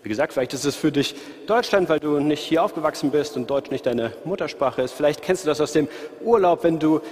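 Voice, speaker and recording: A man from the Ruhr area with a light Ruhr accent, preaching in a relaxed, conversational way and talking straight to his listeners. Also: fairly high pitch, brisk. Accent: light Ruhr German. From Ruhr area, Germany. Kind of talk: sermon. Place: church hall, live audience and online stream. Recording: reverberant hall.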